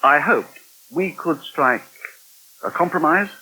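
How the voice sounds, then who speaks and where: An actor playing a polite, hesitant but determined British journalist in a 1980 radio soap. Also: male voice, on the high side, lively intonation, slow pace, sharp tone, breathy edge, exaggerated voice, poor audio. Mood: tentative.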